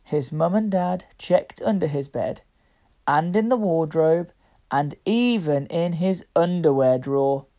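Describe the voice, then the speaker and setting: A woman reading a picture book aloud to young children, with deliberate, expressive phrasing. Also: deep voice. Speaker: children's story reader.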